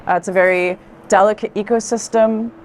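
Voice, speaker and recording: Woman discussing art amid clear background noise. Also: medium pitch, steady tone, a little fast, darkish tone. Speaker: art institution director on a fair tour. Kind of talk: conversational art commentary. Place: busy art fair hall.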